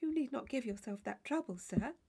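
Audiobook role: woman as demure young lady, polite, reserved, quietly amused, expressive pitch. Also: a little fast, vocal fry, slightly rough, heavily acted. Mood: suppressed amusement. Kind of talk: classic novel audiobook character voice.

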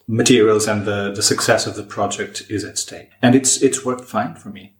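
Guest linguist, male, measured and deliberate, roomy sound. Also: lowish voice, fairly even intonation. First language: Portuguese. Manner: measured, thoughtful, frequent restarts. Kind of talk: podcast discussion. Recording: roomy echo.

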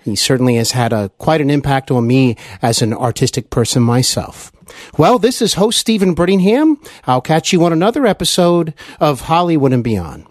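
A man's voice: a little high, very animated, moderate pace, no room sound, studio quality. A man hosting an interview podcast in an earnest, courteous and effusive manner. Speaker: podcast host.

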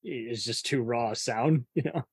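A man's voice: medium pitch, moderate pace, breathy edge, no room sound. A man hosting a casual interview, talking informally with rambling questions. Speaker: interviewer, host.

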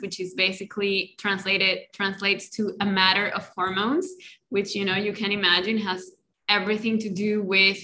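Woman, Colombian Spanish accent, native Spanish speaker, bright voice, reflective casual podcast guest, frequent "right?". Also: mid-range voice. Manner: warm reflective talk with many "right?" and "you know" tags. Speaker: guest, life coach.

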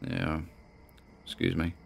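A man with a British accent playing a troubled grandson confiding in an audio diary, hesitant and conversational, in an audio drama. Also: lightly acted. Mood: troubled, suspicious. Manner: hesitant, conversational, brooding, with pauses. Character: Nigel, grieving, obsessed grandson recording an audio diary. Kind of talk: confessional audio-log drama monologue.

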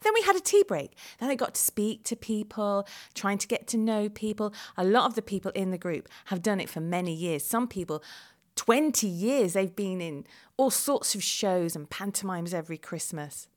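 A woman's voice: mid-range voice, expressive, moderate pace. A middle-aged woman from England telling a chatty, self-deprecating diary-style account of her experiences. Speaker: vlog presenter. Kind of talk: first-person diary narration.

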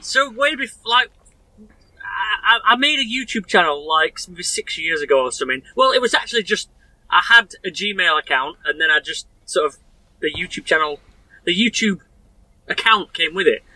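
A man's voice: sits high, expressive, moderate pace, bright, forceful tone, poor audio. A man in his thirties from Sheffield with a Yorkshire accent, a guest in a casual chat, rambling and self-deprecating, often restarting his sentences. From Sheffield, England. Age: 30s.

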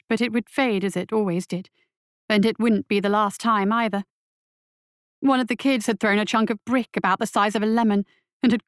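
A woman's voice: medium pitch, dry recording, studio quality. A woman reading a scripted fiction story aloud as its narrator.

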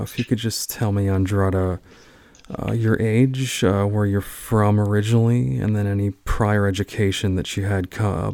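A male podcast host leads a roundtable with students. His voice is low and flat, recorded dry and close. He speaks in a friendly way, with many fillers. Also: dry recording. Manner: friendly, filler-heavy interviewer. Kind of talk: hosting a roundtable interview.

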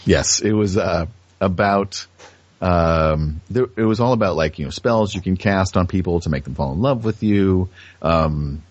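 A man's voice: sits low, measured pace, no room sound. A man chatting casually on a podcast, feeling his way hesitantly through his thoughts. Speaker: podcast host as guest. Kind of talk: casual conversation. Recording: dull lo-fi audio.

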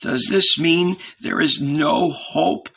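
A man's voice: high-pitched, expressive, vocal fry, pushed and bright, husky, voice pushed up, full character voice. A man voicing a frightened old rich man, trembling and stammering, pleading reverently in a storybook reading. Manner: stammering, halting.

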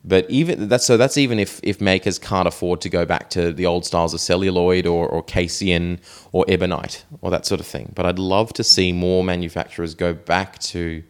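A man with a deep, low voice talks casually as a hobby podcast co-host. His delivery is halting and appreciative, with words often repeated as he goes. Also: fairly even intonation, clear, resonant tone.

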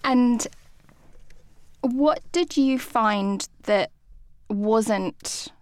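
A woman's voice: on the high side, very slow, smooth, dry recording. Woman podcast host, dry close sound. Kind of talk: interview questions.

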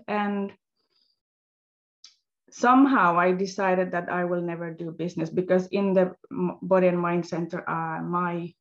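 A woman speaking slowly and haltingly, pausing to search for her words. Her lowish voice has a gravelly fry to it. The audio sounds dull and lo-fi. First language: Swedish. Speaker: guest, health coach. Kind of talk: interview storytelling.

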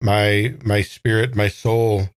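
A man playing an uncertain old man reborn in a young body, speaking haltingly with repeated words in an improvised audio drama. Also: on the low side, flat delivery, clean tone. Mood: hesitant, troubled.

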